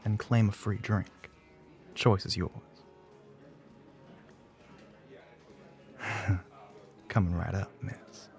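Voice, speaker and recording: Guy playing flirty southern bartender, middle-aged, deep creaky drawl, teasing and folksy, asmr roleplay audio. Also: darkish tone.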